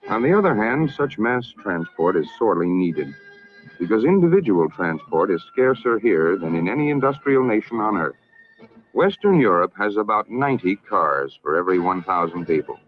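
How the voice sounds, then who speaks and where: An American man narrating a scripted 1960s public information film in a formal, measured, emphatic manner. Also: fairly low pitch, big pitch swings. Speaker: documentary narrator. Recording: narrow-band vintage film soundtrack, music behind.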